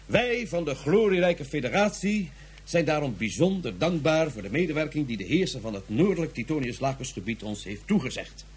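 A pompous federation inspector. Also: male voice, fairly high pitch, very animated, brisk, breathy edge, heavily acted. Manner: bureaucratic, pompous. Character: officious male federation police inspector. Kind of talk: radio drama acting.

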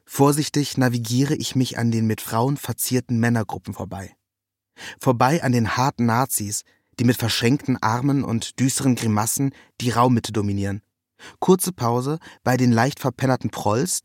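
A man with a mid-pitched voice reads a first-person literary narrative. His delivery is measured and ironic, and the recording is dry, with very little room sound. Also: no room sound.

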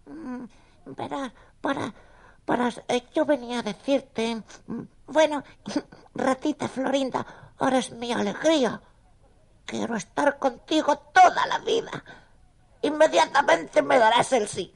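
Woman playing a high-pitched little boy mouse. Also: slow pace, vocal fry, exaggerated voice. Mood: bashful, smitten. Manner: hesitant, gushing. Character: sweet, eager, bashful little male mouse. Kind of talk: children's audio story acting.

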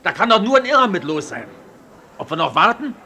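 Male as indignant, flustered tram worker; high and very animated delivery, radio play. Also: brisk, heavily acted. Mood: agitated, plaintive. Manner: agitated, exclaiming, repeats himself. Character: flustered, indignant tram driver whose tram vanished. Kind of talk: German radio play acting.

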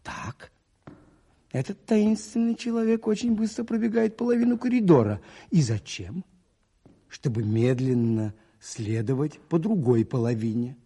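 Radio play priest detective: gravelly, dark-toned, thoughtful, questioning himself as he reasons. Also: a little high, lively intonation, a little slow, exaggerated voice. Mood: puzzled, musing. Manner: musing, questioning himself aloud. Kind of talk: radio play narration and monologue.

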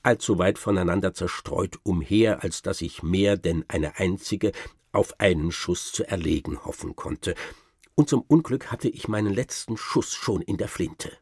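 Radio play raconteur: self-satisfied gentleman hunter, lowish voice with very animated, swooping delivery. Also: husky, dry recording, studio quality, heavily acted. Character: boastful gentleman hunter spinning absurd tall tales. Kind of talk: theatrical first-person storytelling, German radio drama.

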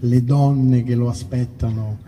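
A man, a singer-songwriter, informally introducing songs at a live concert in a rambling, halting way that often breaks off mid-thought. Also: mid-range voice, fairly even intonation, far from the mic, background noise. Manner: rambling, halting, self-interrupting. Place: outdoor festival concert in a nature park. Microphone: stage microphone through amplification.

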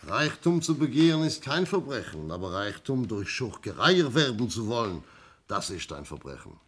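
An actor playing a stern, deliberate police inspector who speaks formally and probingly, in a German 1960s radio play. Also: male voice, medium pitch, big pitch swings, fairly bright tone, slightly rough. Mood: stern, measured. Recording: slightly dull 1960s radio recording.

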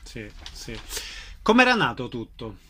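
A man's voice: medium pitch, huge pitch swings, measured pace, background noise. A man hosting a radio programme, announcing events and interviewing guests.

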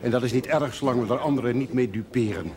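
TV drama: male actor as grave middle-aged patriarch, formal and admonishing, medium pitch, crowd behind. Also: fast, close to an everyday voice. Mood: solemn. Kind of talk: television drama acting. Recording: busy crowd of voices behind.

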